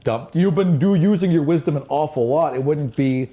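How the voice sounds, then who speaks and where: Man, fairly high voice, game master, casual tabletop narration, blunt, informal. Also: normal speed, warm tone. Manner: informal, swearing, blunt rulings.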